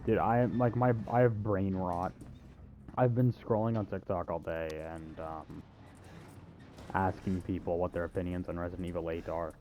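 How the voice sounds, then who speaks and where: A man's voice: low voice, fairly even intonation, moderate pace, darkish tone, a little hiss or hum. A young man giving casual, joking game commentary in a rambling, sarcastic way. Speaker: gamer.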